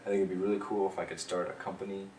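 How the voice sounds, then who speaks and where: A young man answering interview questions in a relaxed way, thinking aloud with lots of ums and uhs. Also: low-pitched, very fast. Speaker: interviewee, rover systems engineer. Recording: roomy, distant mic, clear background noise.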